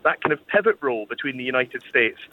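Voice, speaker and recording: A man with a Scottish accent, a historian guest explaining history on the radio in a measured, thoughtful way with hesitant pauses. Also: medium pitch, animated. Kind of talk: conversational expert explanation on radio. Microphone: phone line. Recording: tinny phone line with faint noise.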